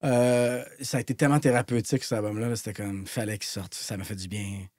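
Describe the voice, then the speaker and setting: A man in his late thirties with a Québécois accent, a guest musician talking casually on a podcast, intense and trailing off softly at the ends of phrases. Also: fairly low pitch, fairly even intonation, fast, no room sound, clean studio sound. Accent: Québécois French. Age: 30s.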